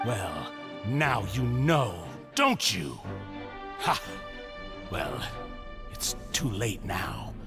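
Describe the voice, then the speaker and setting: Unhinged grieving villain for a game, slow n gravelly, super dramatic, music behind. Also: male voice, on the low side, sing-song, full character voice. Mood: bitter despair. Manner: theatrical, unhinged, anguished delivery. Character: desperate grief-maddened male villain obsessed with lost lover. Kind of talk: dramatic video game voice acting. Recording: music under the voice.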